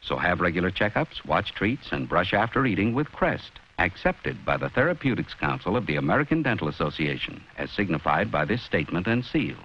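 A man's voice: deep voice, sing-song, fairly quick, hardly any pauses. A man announcing a scripted 1960s TV commercial with authority, on a hissy vintage soundtrack. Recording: dull vintage film soundtrack with hiss.

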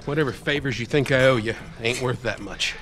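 An American man playing a gruff, sarcastic, paranoid middle-aged survivalist loner in a cinematic video game. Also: mid-range voice, a little fast, fairly bright tone, slight background noise, close to an everyday voice. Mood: grudging, gruff. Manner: gruff, foul-mouthed, sarcastic. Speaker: game character actor.

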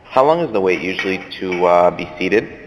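A man's voice: low-pitched, expressive, normal speed. Synthetic human-like man, casual caller, muffled reverberant playback with faint noise. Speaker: AI assistant placing a reservation call. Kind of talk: casual phone booking, human-like synthetic speech. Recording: dull phone playback in echoing hall, faint noise.

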